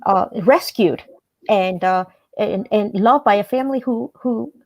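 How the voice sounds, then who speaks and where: A woman originally from El Salvador speaks as a radio interview guest. Her voice sits at a middle pitch, and she talks in an earnest, measured way, pausing and restarting as she works through her answers. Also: darkish tone. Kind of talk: personal storytelling in an interview.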